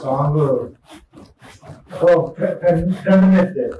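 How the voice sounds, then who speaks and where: A man talking casually in short, halting phrases. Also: fairly high pitch, warm tone. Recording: very echoey, noisy, far from mic.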